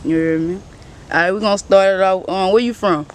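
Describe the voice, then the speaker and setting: A man hosting a casual interview and asking questions in relaxed slang. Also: sits high, a little hiss or hum. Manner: casual, slangy, quick affirmations. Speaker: interviewer, host.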